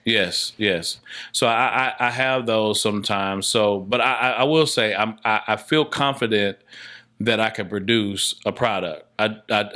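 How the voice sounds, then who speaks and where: A man's voice: lowish voice, sharp tone. A man speaking as a filmmaker guest in a casual interview, often repeating words as he reasons things out. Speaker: podcast guest, filmmaker and stage play director.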